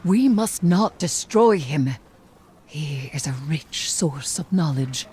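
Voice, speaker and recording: A woman playing a grim, vengeful sorceress queen in a video game, speaking in a solemn, deliberate, imperious way. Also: low-pitched, animated, breathy edge, exaggerated voice. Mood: grave triumph. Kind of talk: dramatic video game cutscene acting. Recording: loud music under the voice.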